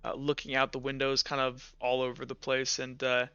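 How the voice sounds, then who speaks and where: A man narrating a tabletop game as game master in a casual, halting, conversational way. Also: medium pitch, monotone, bright, forceful tone, smooth, no room sound. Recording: slightly dull, lo-fi audio. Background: music.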